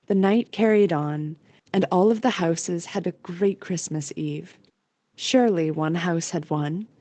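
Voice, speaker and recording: A woman's voice: lowish voice, dark tone, no echo. A woman narrating a scripted story as the narrator of an audio play.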